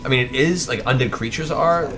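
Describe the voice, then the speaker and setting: A man's voice: mid-range voice, fairly quick, over music. A man runs a tabletop fantasy game as its narrator. He lays out scenes and action with dramatic storytelling, speaking in a mid-range voice. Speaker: game master.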